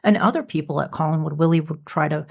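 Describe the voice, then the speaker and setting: A man's voice: fairly high pitch, fast, creaky, husky. A man hosting a podcast, chatting casually with the guest he interviews.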